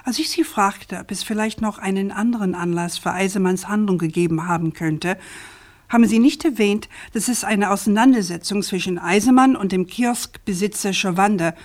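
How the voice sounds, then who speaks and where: An actress playing an earnest, persistent journalist in a radio drama, speaking in an articulate, deliberate, insistent way. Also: female voice, mid-range voice, darkish tone, lightly acted. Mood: insistent, challenging. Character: earnest, persistent woman journalist challenging an official.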